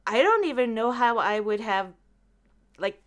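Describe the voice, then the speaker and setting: A woman's voice: a little high, unhurried. A woman chatting casually as a podcast host, acting out her own reactions as she tells stories. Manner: animated storyteller who acts out own reactions. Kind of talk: casual chat.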